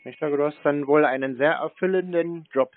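A man's voice: on the high side, brisk. Male scam-baiter, unruffled and dryly needling, casual delivery, telephone-band audio. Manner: unfazed, dryly provoking, nonchalant. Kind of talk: casual phone conversation. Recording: dry, narrow phone-like sound.

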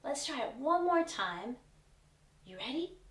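A woman in her forties reads a children's storybook aloud, speaking clearly and deliberately with an expressive storytelling delivery. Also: high-pitched, very noisy. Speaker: teaching artist reading aloud to children.

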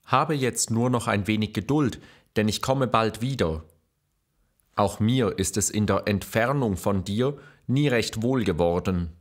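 A man playing a courtly classical poet, reciting letters and verse in a measured, expressive way for an audio drama. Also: fairly low pitch, close to an everyday voice. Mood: affectionate. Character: famous male poet reading his letters and verse, courtly.

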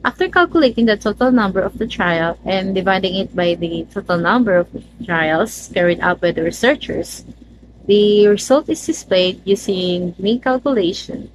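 A woman's voice: medium pitch, lively intonation, moderate pace, noisy background. A young woman presenting student research, reading carefully and steadily from her notes.

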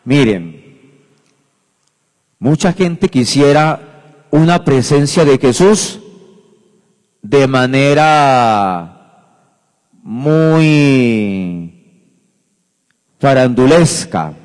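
A priest preaching a homily at Mass, speaking unhurriedly and emphatically into a handheld microphone, repeating phrases. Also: male voice, medium pitch, lively intonation, very slow, pauses often, darkish tone. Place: church sanctuary at the lectern. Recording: reverberant church hall.

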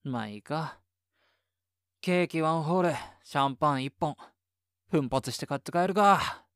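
A man's voice: fairly high pitch, moderate pace, fairly bright tone, dry recording, studio quality. A man playing a clumsy, happy-go-lucky boyfriend in the style of a boys' comic hero, breezily muttering to himself in a situation voice drama. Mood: flustered, easygoing. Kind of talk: Japanese situation voice acting.

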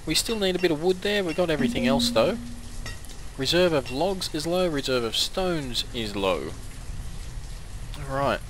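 A man giving casual commentary while playing a strategy game, hoarse and coughing now and then as his voice wears out, chatting to his villagers as he goes. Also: on the high side, lively intonation, moderate pace, long pauses, background noise. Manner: chatty, talks to the game's villagers. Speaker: gameplay commentator. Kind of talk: casual let's-play commentary.

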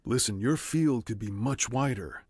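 A man's voice: medium pitch, a little slow, husky, no echo, studio quality. An actor playing the encouraging, persuasive male founder of a worldwide ministry in an audio drama.

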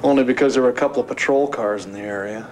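A man's voice: medium pitch, quick pace, natural voice. Guy acting weary american lawyer in his 40s, plain mid voice, tv drama, noisy behind. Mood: weary, grim. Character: weary, principled male lawyer in his forties. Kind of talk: understated television drama acting. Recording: noisy television drama soundtrack.

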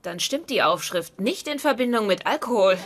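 Lady acting sharp-tongued sitcom wife, dry and sarcastic, middling pitch, noisy background. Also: expressive, normal speed, close to an everyday voice. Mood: exasperated, sarcastic.

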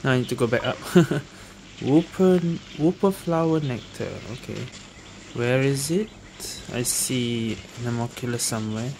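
A man's voice: mid-range voice, measured pace, gravelly, darkish tone, slight background noise. A man doing casual gameplay commentary, muttering his reactions and reading game text aloud. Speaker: gaming video host playing an action RPG. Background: game music and effects.

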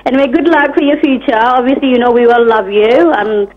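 A woman calls in to a live TV show, chatty and affectionate. Her voice is somewhat high. The sound is dull and muffled from the phone line. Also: a little fast, a little hiss or hum. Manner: warm, chatty, family-friendly. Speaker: phone-in caller.

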